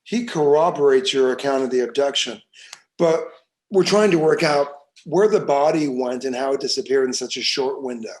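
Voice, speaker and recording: A man acting a calm police detective in a screenplay table read, with measured, procedural questioning. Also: mid-range voice, natural voice, rough recording. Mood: businesslike. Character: steady, businesslike male police detective.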